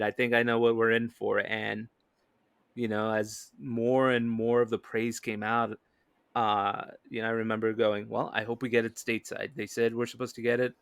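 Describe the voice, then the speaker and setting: A man's voice: medium pitch, fairly bright tone, clean tone, no echo. A man chatting casually about films as a podcast co-host. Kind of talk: casual film discussion.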